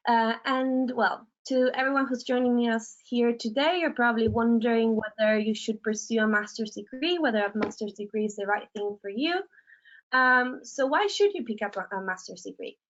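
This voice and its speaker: A woman's voice: fairly high pitch, normal speed. A young woman from Argentina, a film graduate, moderating a webinar panel and explaining things with many hesitant fillers. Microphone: video call. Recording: dull lo-fi video call audio.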